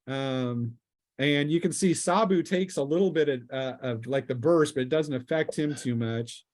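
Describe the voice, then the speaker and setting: A man's voice: medium pitch, normal speed, darkish tone. A man acting as game master, casually narrating a tabletop role-playing session and piecing scenes together aloud as he goes.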